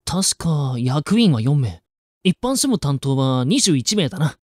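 A man's voice: fairly high pitch, big pitch swings, unhurried, darkish tone, no room sound, clean studio sound. A man playing a stiff, pompous student council officer in an amateur voice drama, speaking in formal, old-fashioned phrases. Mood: awkward.

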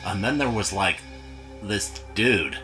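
A man's voice: fairly low pitch, animated, slow pace, over music. A man voicing a dim, crude, laid-back goon with dopey, slangy speech in a parody cartoon dub.

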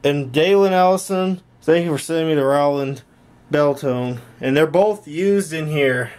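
A man's voice: a little high, measured pace, darkish tone. A man hosting a hobby tech vlog, casually narrating a tour from behind a handheld camera in a small room at home. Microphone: handheld camera held by the speaker.

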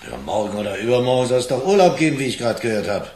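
Quick-talking police detective, echoey room. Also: male voice, mid-range voice, very animated, darkish tone. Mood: familiar. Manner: informal, probing, familiar. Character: familiar, probing male police detective. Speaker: radio drama actor. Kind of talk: naturalistic 1980s German radio crime drama acting. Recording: echoey, slight noise.

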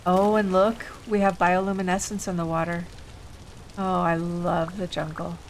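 A woman's voice: on the low side, flat delivery, normal speed, a little hiss or hum, rough recording. A woman hosting a casual let's play, thinking aloud unhurriedly while getting over an illness. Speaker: gaming video host.

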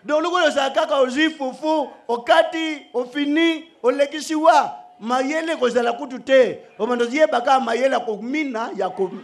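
Middle-aged male preacher, very high voice, lively, joking, shouts at times, stand mic, outdoor stage. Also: moderate pace, hardly any pauses. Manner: animated, joking, switching between shouting and soft asides. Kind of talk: sermon. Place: outdoor church stage under a canopy. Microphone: stand microphone.

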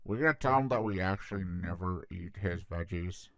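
A casual demon god of change, acted by a man in a comic dub. He is a blunt, nosy know-it-all with a fairly low voice. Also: normal speed.

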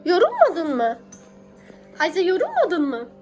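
A woman's voice: very high voice, wildly swooping pitch, measured pace, darkish tone, faint music behind. A young woman, a mother talking casually and affectionately to her small child, encouraging with pet names and repeated phrases. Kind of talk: casual coaxing talk to a small child while baking.

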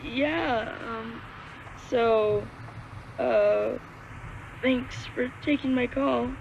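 An actor playing a soft-spoken, hesitant caller to a late-night radio show, heard over a noisy line. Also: male voice, extremely high pitch, extremely slow, vocal fry, lo-fi sound, heavily acted. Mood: subdued. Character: grieving male caller, quiet and sincere.

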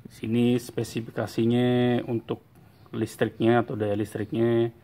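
Man, tool demo, mellow dark tone, slow, workshop, camera mic, faint noise. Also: medium pitch, little pitch movement. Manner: slow, repeats points with a tag "ya". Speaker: product reviewer. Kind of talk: casual tool demonstration. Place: workshop bench, indoors.

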